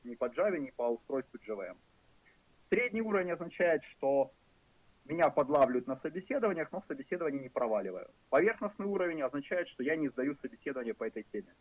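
A man's voice: on the high side, no echo. A man in his thirties with a Ukrainian accent, giving a programming lecture in a frank, wry, loosely rambling way with fillers. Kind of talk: conversational lecture. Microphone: voice-over for a screen recording. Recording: dull lo-fi lecture recording.

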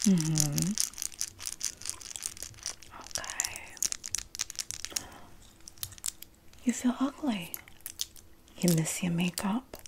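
Whispering sassy prison diva played by a woman. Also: on the high side. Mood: comforting. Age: young adult. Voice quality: breathy whisper. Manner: sassy, streetwise slang, drawn-out terms of endearment. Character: sassy female prison inmate diva, comforting bunkmate. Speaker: ASMR creator acting a roleplay. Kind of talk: whispered ASMR roleplay. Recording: close mic with clear background noise.

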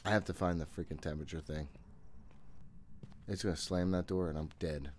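A man in his thirties with a fairly low voice streams a horror game from a room at home. He mutters to himself in a casual, jittery way, with plenty of swearing. A little background noise sits under his voice. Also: steady tone, fairly quick, a little mellow. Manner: jittery self-talk with frequent swearing. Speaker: gaming streamer. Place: small room at home, indoors.

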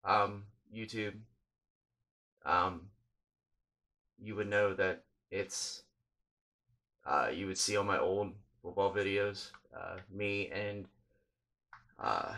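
Thirty-something guy vlogging late at night, slow halting ramble, lots of ums, swears casually, lowish voice. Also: pushed and bright. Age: 30s. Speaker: YouTuber. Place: small indoor room, late at night. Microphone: webcam or handheld phone at close range.

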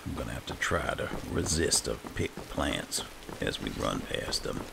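A man giving casual gameplay commentary, muttering to himself in a gravelly voice. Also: a bass voice, animated, moderate pace, heavy background noise. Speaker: gamer, let's player.

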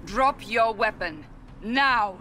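A woman's voice: fairly high pitch, animated, takes it slowly, pushed and bright, faint music behind, exaggerated voice. An actress playing a stern, guarded woman warrior in a video game, speaking in a grave, clipped, authoritative way. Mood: commanding, urgent.

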